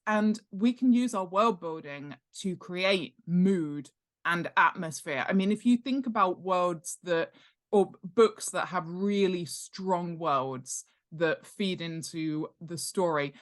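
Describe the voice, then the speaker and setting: A woman with a slightly low-pitched voice talks as an expert guest. She explains things conversationally, with plenty of emphasis and enthusiasm, and laughs easily. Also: slow. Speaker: interview guest, world-building expert and author.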